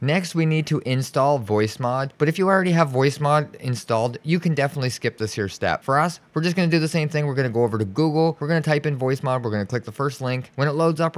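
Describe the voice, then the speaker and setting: Male tutorial host, mid-pitched, informal and reassuring, walking through software steps. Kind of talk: step-by-step software tutorial narration. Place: indoors, voice-over for a screen recording.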